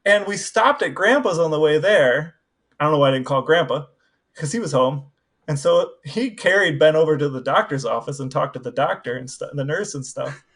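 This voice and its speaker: A man's voice: fairly high pitch, animated, moderate pace, a bit bright. A man co-hosting a podcast, chatting casually and anecdotally. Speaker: podcast co-host.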